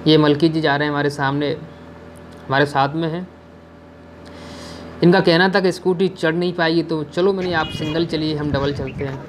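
Young male travel vlogger, fairly high voice, chatty outdoor narration with light background hiss. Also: fairly even intonation, moderate pace, pauses often, rough recording. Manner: chatty, addresses viewers, gives safety advice. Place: outdoors on a hill road.

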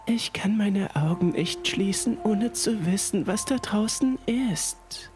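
A terrified woman, acted by a man in a horror story, trembling and close to tears. The voice is pitched high and breathy, often sinking to a whisper, with music and rain behind it. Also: takes it slowly. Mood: trembling fear. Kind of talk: horror audiobook character voice.

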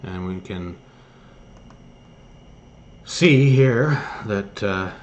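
A man hosting an online news commentary, reading articles aloud and remarking on them somewhat haltingly. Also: fairly low pitch, expressive, dull audio. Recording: noticeable background noise.

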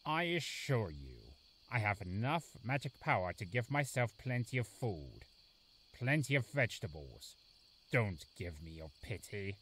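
A man's voice: fairly low pitch, very animated, unhurried, fairly bright tone, husky, heavily acted. A man voicing a grumpy, aloof and lonely forest beast in a cartoon, curt and brooding. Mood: proud, dismissive. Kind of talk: animated fan drama acting. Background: background music.

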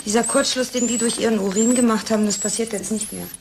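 A curious, eager young-spirited lab assistant in a radio drama, played by a woman. Her female voice is fairly high. Animal noise is clear behind her. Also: fairly even intonation, quick pace, close to an everyday voice. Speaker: laboratory assistant character. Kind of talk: German radio drama acting.

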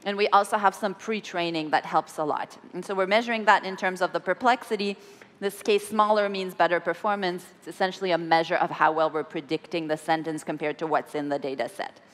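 A woman in her forties giving a technical keynote on stage, explaining her work in a measured way with some ums and restarts. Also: medium pitch, fairly bright tone. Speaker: keynote speaker. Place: large conference hall on stage. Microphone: podium microphone and headset mic. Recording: long hall reverb.

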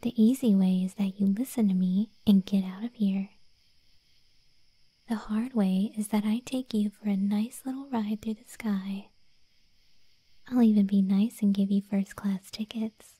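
A woman playing a protective gargoyle monster girl in an ASMR roleplay, speaking softly and breathily, close to the microphone. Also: medium pitch, fairly even intonation, measured pace, no room sound, low-quality recording. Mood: stern, suspicious. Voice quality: soft, breathy, whispery. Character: protective gargoyle monster girl guard, stern then sweetly deferential. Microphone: close microphone, dry.

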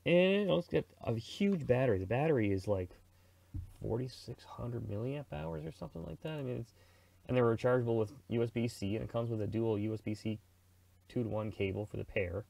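A man's voice: mid-range voice, brisk, creaky, dark tone, no echo. A middle-aged man giving a casual, rambling product review and how-to talk, in an informal conversational way. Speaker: radio reviewer and presenter.

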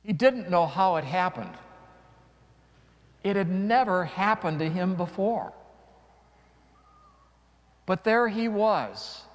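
Middle-aged guy priest preaching, slow and deliberate, dramatic pauses, big echoey church. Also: high-pitched. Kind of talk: homily with dramatic storytelling. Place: large church, before a congregation. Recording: long church reverb.

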